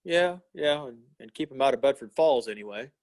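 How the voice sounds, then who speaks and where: A man's voice: medium pitch, expressive, fairly quick, a little mellow, no room sound, natural voice. A man playing an earnest, idealistic young small-town fellow in an old-time radio play, plain-spoken and given to repeating himself. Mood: wry, subdued.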